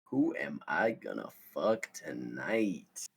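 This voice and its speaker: A man's voice: very deep voice, sing-song, slow pace, creaky, slightly rough, exaggerated voice. A man playing a cocky, sleazy teenage party wingman at a script table read. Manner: swaggering, crude.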